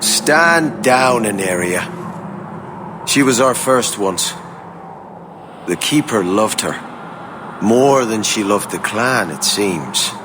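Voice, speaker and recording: A man's voice: on the low side, animated, slow, pauses often. A man playing a grieving elven hunter in a fantasy game, commanding yet emotional. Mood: stern, sorrowful. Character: stern, grieving male elven clan hunter. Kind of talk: dramatic video game voice acting. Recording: clear background ambient noise.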